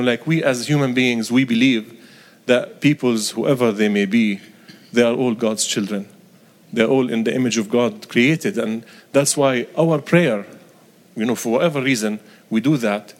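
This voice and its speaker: Middle-aged man with a Palestinian Arabic accent. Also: medium pitch. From Galilee, Israel. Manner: halting, careful, earnest phrasing. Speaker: bishop, interview guest. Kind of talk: reflective interview answers.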